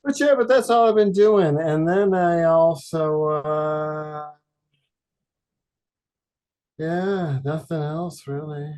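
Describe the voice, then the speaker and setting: A man from Detroit, a podcast guest, chatting casually in a halting, rambling way with many fillers and deadpan jokes. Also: on the high side. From Detroit, Michigan. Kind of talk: casual chat.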